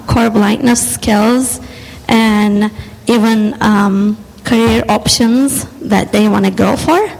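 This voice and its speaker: A woman gives a brief, earnest introduction of herself at a convention. She talks slowly and deliberately, her voice sitting a little high. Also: gravelly, low-quality recording. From Turkey. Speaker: scholarship finalist. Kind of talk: short speech at a convention.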